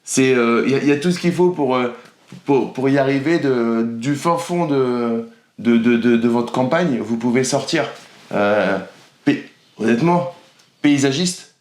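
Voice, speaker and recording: A man's voice: medium pitch, unhurried, a little mellow. A man hosting a casual podcast interview, asking rambling and hesitant questions with frequent stammers. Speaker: podcast host. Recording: roomy echo.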